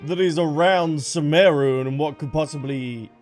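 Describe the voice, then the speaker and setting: A man's voice: fairly high pitch, moderate pace, a little mellow, soft background music. A man giving casual playthrough commentary, chatty and full of exclamations and reactions. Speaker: gaming content creator. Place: game audio and music behind voice.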